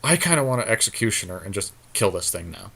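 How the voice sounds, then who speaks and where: A man co-hosting casual gameplay commentary with a friend, thinking his moves out loud in slangy speech. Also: fairly low pitch, big pitch swings, quick pace, vocal fry, breathy edge. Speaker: podcast co-host playing an online card game.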